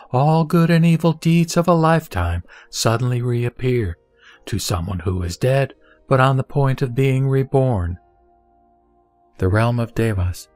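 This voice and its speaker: A man voicing the Buddha as a serene teacher, reciting scripture in a measured, formal, solemn way for an audio drama. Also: medium pitch, a little mellow, no room sound, natural voice. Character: the Buddha, serene male teacher instructing a disciple.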